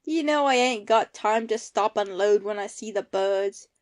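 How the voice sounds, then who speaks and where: A woman's voice: mid-range voice, measured pace, natural voice. A woman playing an ailing, easygoing farmer husband in an amateur audio play, using folksy rustic phrasing. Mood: matter-of-fact.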